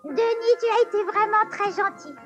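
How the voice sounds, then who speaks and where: A woman's voice: moderate pace, lo-fi sound, music in the background. A woman voicing a bossy, prissy little girl in a dubbed cartoon. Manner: bossy, shrill.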